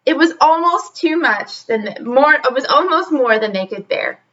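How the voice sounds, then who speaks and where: A woman's voice: high voice, animated, normal speed, a bit bright, lo-fi sound. A woman reading a children's story aloud expressively, like a teacher, adding chatty asides straight to her young listeners.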